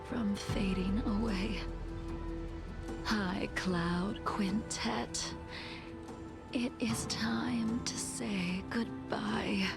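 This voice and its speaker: A woman playing a grave, sorrowful swordswoman in a video game, speaking softly and formally in measured, breathy phrases. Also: mid-range voice. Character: solemn, sorrowful swordswoman, a centuries-old condemned sinner. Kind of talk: dramatic video game voice acting. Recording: music playing behind the voice.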